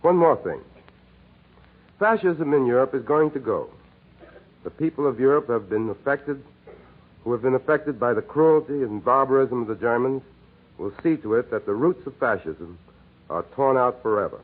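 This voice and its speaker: An American man giving an earnest, scripted oratorical radio address as a war correspondent, speaking in measured, emphatic phrases. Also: mid-range voice, expressive. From United States. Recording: muffled, narrow-band 1940s radio broadcast.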